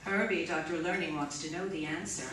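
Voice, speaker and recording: A woman's voice: sits low, steady tone, brisk, fairly bright tone. A woman acting as a bitter, coldly relentless robot scientist in a radio drama, with intense, biting, dramatic delivery. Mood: relentless, cruel. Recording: echoey, distant, faint noise.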